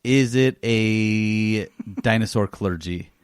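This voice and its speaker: A man's voice: on the low side, slow pace, clean tone, dry recording, studio quality. A man co-hosting a podcast, trading casual comedic remarks in conversation. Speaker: podcast co-host.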